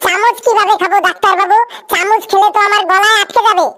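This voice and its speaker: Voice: extremely high pitch, steady tone, quick pace, pushed and bright. A performer voicing a cheeky, literal-minded, childlike cartoon patient with an earnest way of talking. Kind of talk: comedy cartoon skit dialogue.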